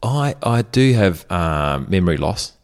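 Deep-voiced German-born man, easygoing. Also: big pitch swings, measured pace, smooth. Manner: easygoing, hesitant, laughs often. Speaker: podcast co-host. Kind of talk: casual chat, guessing game.

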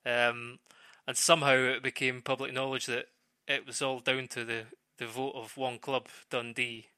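Guy doing football punditry, really sharp bright voice, kinda halting, dry close sound. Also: medium pitch, level tone, smooth, no room sound. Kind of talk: discussion, off-the-cuff analysis.

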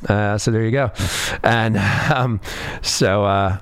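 A man's voice: fairly low pitch, fairly even intonation, takes it slowly, clean tone, no echo. A man hosting a radio music show, chatting in an easygoing, rambling way with lots of ums and uhs, a little sniffly from a cold. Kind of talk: laid-back radio DJ chat.

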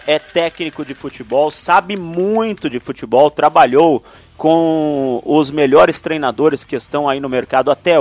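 A man hosting a radio sports talk show, introducing his guest with enthusiasm. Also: a little high.

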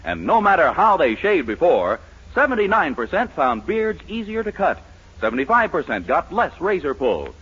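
A man's voice: fairly high pitch, very animated, moderate pace, fairly bright tone, breathy edge. A man working as a radio announcer and narrator, with polished, theatrical 1940s scripted delivery. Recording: muffled old radio broadcast recording.

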